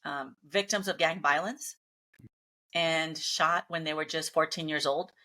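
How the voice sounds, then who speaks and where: A woman's voice: deep voice, unhurried, pushed and bright. A middle-aged woman whose first language is Spanish, speaking earnestly and upbeat as a guest in a casual interview. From Mexican family, grew up in Los Angeles.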